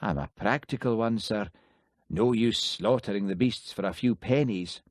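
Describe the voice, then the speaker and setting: A man's voice: lowish voice, normal speed, no echo, clean studio sound, lightly acted. A man voicing an easygoing, sly village constable, mild and slyly innocent in manner. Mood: wry.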